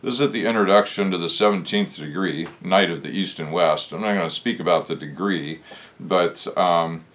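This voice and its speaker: A man with a hoarse voice, talking in a casual, reflective way as a show host, pausing often with "uh" as he thinks aloud. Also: low-pitched, fairly bright tone. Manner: musing, unhurried, with frequent "uh" pauses. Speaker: channel host discussing Masonic lore.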